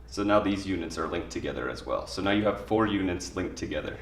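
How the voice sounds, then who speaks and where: Male tutorial presenter, low-pitched, plain informal delivery, echoey room sound with faint background noise. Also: quick pace, far from the mic. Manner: plain, informal spoken explanation. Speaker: product demonstrator. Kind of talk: instructional product tutorial, step by step.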